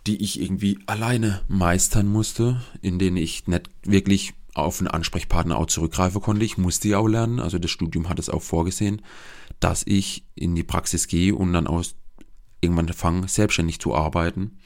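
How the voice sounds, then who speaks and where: A man's voice: low-pitched, fairly even intonation, normal speed, a little mellow. A young man in his twenties with a regional dialect, hosting his own podcast in an earnest, hesitant monologue. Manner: earnest and searching, with frequent hesitations and restarts. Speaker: podcast host, social worker.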